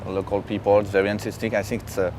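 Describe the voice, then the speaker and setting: A young man from France speaks with a French accent, choosing simple words and pausing as he goes. His voice sits a little low, and faint traffic sounds drift in behind him outdoors. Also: little pitch movement, darkish tone. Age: young adult. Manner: halting, simple phrasing. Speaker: exchange student. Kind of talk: casual chat. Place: outdoors on a campus lawn. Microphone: camera at a distance.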